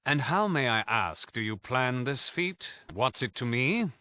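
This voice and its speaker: A man's voice: mid-range voice, expressive, measured pace, pushed and bright, no echo. A man with a British accent playing a cocky, mock-polite thief gang leader in a video game, theatrical and sarcastic. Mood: coolly condescending.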